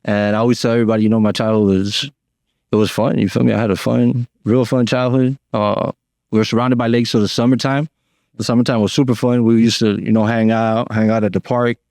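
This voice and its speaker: A Cuban-born man, a guest talking casually on a podcast in a slangy, relaxed way full of fillers. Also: mid-range voice, fairly quick, no echo, studio quality. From Cuba. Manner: laid-back slangy talk with many fillers.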